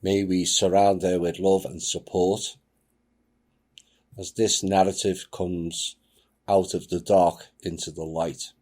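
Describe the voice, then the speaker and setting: Deep, slow, grave-voiced man. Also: level tone, darkish tone. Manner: grave, measured delivery. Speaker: channel host. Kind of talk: solemn reading of a submitted account.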